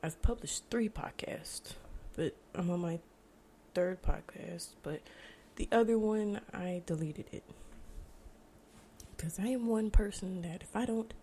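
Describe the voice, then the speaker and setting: A woman's voice: fairly low pitch, measured pace, mellow. An American woman in her twenties talking informally and reflectively in a casual solo podcast monologue. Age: 20s. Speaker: podcast host. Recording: noticeable background noise.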